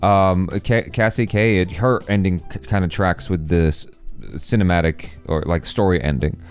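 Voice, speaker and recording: Reaction co-host: man with a very deep voice, casual and explanatory, faint music behind. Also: steady tone, moderate pace. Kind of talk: casual commentary and chat.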